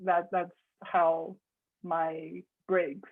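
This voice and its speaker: A young woman from China, a composer, chatting in an interview with many restarts and soft laughs. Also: on the low side, flat delivery, extremely slow. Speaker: composer guest. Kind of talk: casual interview conversation. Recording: husky voice over tinny phone-like line.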